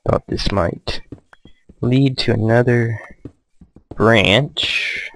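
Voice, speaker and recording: Slow, creaky-voiced man playing a game. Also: fairly bright tone, slight background noise, low-quality recording. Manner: low-key, hesitant, musing to himself. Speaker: gamer, let's play host. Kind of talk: casual let's play commentary.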